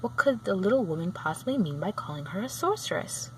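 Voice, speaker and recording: A woman reading a children's book aloud, sometimes halting and going back over a phrase. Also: mid-range voice, sing-song, rough recording. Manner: halting, often rereads phrases. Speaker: storybook reader. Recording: clear background noise.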